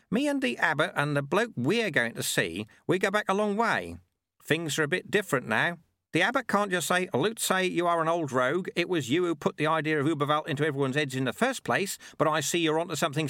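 A man's voice: on the high side, lively intonation, a little fast, bright, forceful tone, no echo, studio quality, pitched above the performer's own voice. A man voicing a wily, irreverent old monk mentor in an audiobook, chatty and colloquial. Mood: wry, matey.

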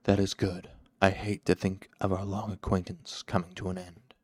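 A man voicing a hooded dark lord in a fantasy story, cool and controlled, speaking with slow, precise patience and quiet menace. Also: low voice, flat delivery, dry recording, clean studio sound. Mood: cool warning. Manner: slow, patient, precise, sharpening to hard command.

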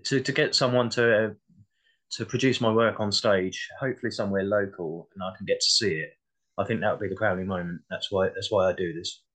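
A man's voice: on the low side, fairly even intonation, a little fast, fairly bright tone. A man speaking as an interview guest in a casual, modest and reflective way. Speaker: interview guest, playwright and actor.

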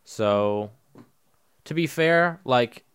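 A man's voice: mid-range voice, lively intonation, extremely slow, clean tone. A young man hosting a podcast, chatting casually with teasing, swearing banter. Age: young adult. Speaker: podcast host. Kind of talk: casual chat.

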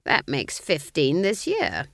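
A woman's voice: low voice, huge pitch swings, takes it slowly, creaky, no room sound, clean studio sound, heavily acted. A woman voicing a proud, stern old witch in an audiobook, blunt and grudging in her disapproval. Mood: dry, unimpressed. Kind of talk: audiobook character voice.

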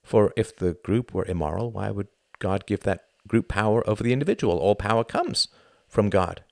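Man acting a placid, pious, low-voiced believer. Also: expressive, normal speed, gravelly, dry recording, studio quality. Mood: serene certainty. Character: serene, devout man, placid and self-assured.

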